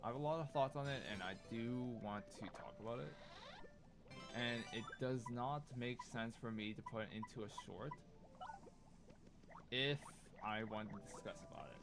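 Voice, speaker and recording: A man's voice: medium pitch, moderate pace. Chatty man, game music behind. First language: Cantonese. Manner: chatty, thinking out loud. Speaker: gaming streamer. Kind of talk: casual commentary while playing. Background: video game music.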